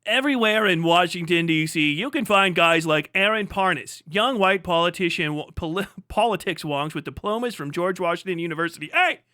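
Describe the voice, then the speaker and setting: American guy streamer reacting to an article, pretty high voice, super dry close sound, swears a lot. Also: fairly quick, few pauses, pushed and bright, no echo. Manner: sweary, incredulous, breaking off into asides. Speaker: livestream host. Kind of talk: casual livestream reaction, reading an article aloud with commentary.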